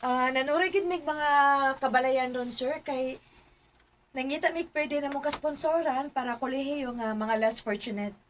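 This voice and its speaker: A woman voices a friendly scholarship organizer in a radio drama. She speaks high and polite, with a businesslike cheer as she explains the requirements. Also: normal speed, a little hiss or hum, natural voice.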